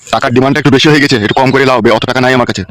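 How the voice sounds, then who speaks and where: A man's voice: mid-range voice, steady tone, very fast, fairly bright tone, dry recording, natural voice. An actor playing an exasperated, argumentative father in a cartoon comedy, protesting in a rural West Bengal accent. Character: indignant, exasperated father of a grown son.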